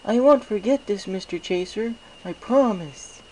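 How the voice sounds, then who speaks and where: A dazed young pegasus mare, acted out by a man, pitched far up and hesitant. She sounds childlike and grateful, a storybook character over a noisy home recording. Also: unhurried, darkish tone.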